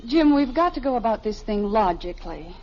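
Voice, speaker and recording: A woman's voice: fairly high pitch, sing-song, brisk. A woman acting a patient, knowing, middle-aged American wife and mother in a methodical, teasing 1950s radio comedy style. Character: gentle, logical, knowing suburban wife and mother. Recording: muffled, faintly noisy vintage radio recording.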